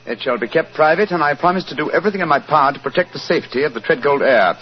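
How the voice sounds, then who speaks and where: Gentleman sleuth played by a man: assured, courteous, upper-class English, very expressive delivery, dull 1940s radio sound. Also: a little high, rapid-fire, close to an everyday voice. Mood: probing, resolute. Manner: crisp, formal, politely probing. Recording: muffled 1940s radio recording.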